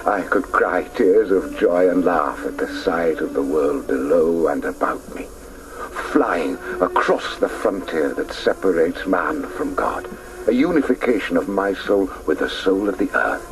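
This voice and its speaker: An actor playing a reflective grown man who recalls his boyhood in lyrical, intense radio play narration, at times hushed. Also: deep voice, lively intonation, measured pace, music in the background, rough recording. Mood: rapt, awed.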